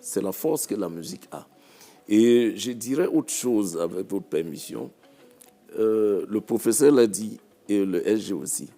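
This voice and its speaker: A man's voice: lowish voice, expressive, a little slow, warm tone, faint music behind. A man from Cameroon, a musician guest on a panel talk show, speaking reflectively and repeating his phrases.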